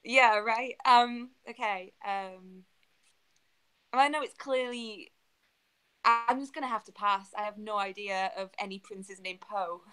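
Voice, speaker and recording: A woman's voice: medium pitch, a little slow, bright, forceful tone, smooth, low-quality recording. A woman playing an online quiz from a video call, chatting casually and hesitantly as she thinks aloud. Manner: hesitant, searching for answers. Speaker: quiz contestant.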